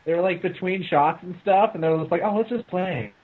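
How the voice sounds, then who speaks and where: High-pitched man, phone-like sound. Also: brisk. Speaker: co-host of a movie riffing show. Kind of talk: casual joking film commentary among friends.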